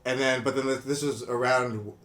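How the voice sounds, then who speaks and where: A man in his twenties from Indonesia, a guest on a podcast, telling his story in a relaxed, rambling way with lots of "you know what I mean.". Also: mid-range voice, normal speed. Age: 20s. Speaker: guest, brand co-founder. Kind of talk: casual podcast interview. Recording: echoey room.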